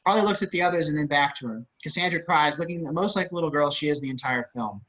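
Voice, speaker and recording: A man's voice: fairly high pitch, quick pace, fairly bright tone. A man narrating stage directions for a script table reading over a dull-sounding video call.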